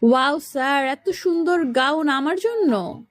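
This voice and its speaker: Casting: actress as emotional young romantic heroine, high-pitched voice, audio love story. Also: female voice, lively intonation, unhurried. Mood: delighted. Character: young woman, boss's shy, emotional romantic love interest.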